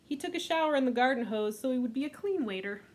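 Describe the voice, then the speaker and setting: A woman librarian leading a children's storytime, reading aloud gently and unhurriedly with a few fillers. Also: sits high, expressive, fast talker, slight background noise.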